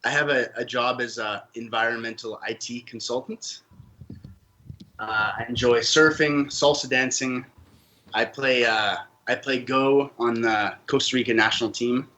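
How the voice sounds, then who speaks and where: A man's voice: medium pitch, steady tone, a little slow, pushed and bright, a little hiss or hum. A man who grew up in Canada, a podcast guest telling his own story in an earnest, open way. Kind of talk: casual interview talk.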